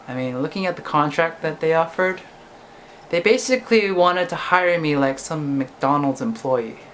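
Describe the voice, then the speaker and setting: A man's voice: fairly high pitch, fairly bright tone. Young male vlogger, conversational, keeps checking in with "right?", faint background noise. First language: English. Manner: chatty, ends points with "right?". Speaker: singer-songwriter vlogger. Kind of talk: casual talk to camera.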